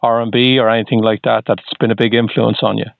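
A man interviewing a musician, asking relaxed questions with brief hums in between. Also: fairly low pitch, fast, vocal fry, narrow, tinny audio.

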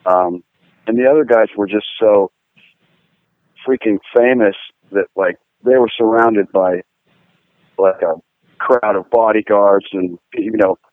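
A man from Pittsburgh, a guitar-playing guest, telling rambling, animated stories with lots of fillers. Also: fairly low pitch, dry recording. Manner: rambling, animated, fillers, laughs at own jokes. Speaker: guest musician, guitar player. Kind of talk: casual storytelling interview. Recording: tinny phone-line sound, dry.

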